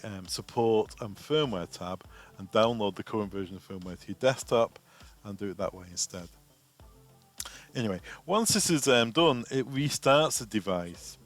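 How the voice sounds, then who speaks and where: A man presenting a software training walkthrough in a plain, informal, instructional way. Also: fairly low pitch.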